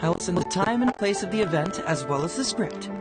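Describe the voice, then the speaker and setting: A man's voice: high voice, animated, fairly quick. An actor playing a crafty, affable young male official, politely coaxing and playful in a video game. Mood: sly, teasing. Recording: game music under the voice.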